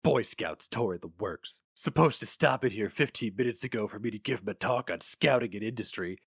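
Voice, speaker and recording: A man voicing a brash, officious office boss in his thirties, brisk and condescending. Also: fairly low pitch, animated, vocal fry, slightly rough, dry recording, clean studio sound, exaggerated voice. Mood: gruff, bored. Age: 30s.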